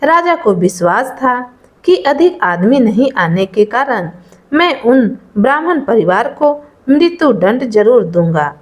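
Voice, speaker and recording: A woman's voice: on the high side, big pitch swings, measured pace. A woman narrating a scripted folk tale as a storyteller.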